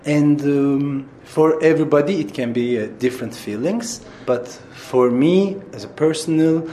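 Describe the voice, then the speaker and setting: A theatre director from Turkey speaking Turkish-accented English in an interview, halting and searching for words with many fillers and restarts. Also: male voice, a little high, dark tone. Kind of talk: interview answers.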